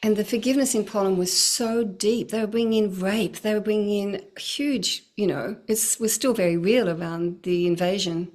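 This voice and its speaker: A middle-aged woman talks in an earnest, flowing way about spiritual work. Her voice sits at an ordinary middle pitch, and she laughs often as she goes. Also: normal speed, hardly any pauses, darkish tone. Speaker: spiritual teacher, guest. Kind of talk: casual spiritual talk.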